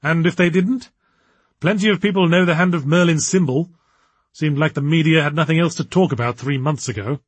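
A man's voice: on the high side, fairly quick, breathy edge, dry recording, voice pushed up. A man voicing an earnest, irritable male occult detective in an audiobook, arguing and protesting. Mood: argumentative.

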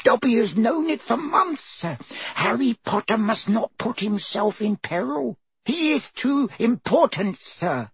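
A man voicing a small, servile male house elf who talks in a squeaky, anxious way. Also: sing-song, measured pace, creaky, slightly rough, no room sound, exaggerated voice. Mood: frantic. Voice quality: squeaky, high-pitched. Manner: servile, calls himself by name, ends lines with "sir". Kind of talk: audiobook character voice.

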